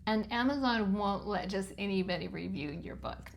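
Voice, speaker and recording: A woman over fifty with a high-pitched voice, giving frank, chatty advice on self-publishing with plenty of ums. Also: moderate pace, gravelly. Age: 50s or older. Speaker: channel host teaching self-publishing. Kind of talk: casual instructional talk to camera.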